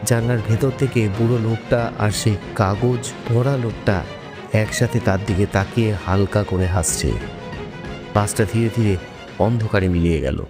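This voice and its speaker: A man narrating a scripted horror story in a dramatic style. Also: medium pitch. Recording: long reverb, music bed.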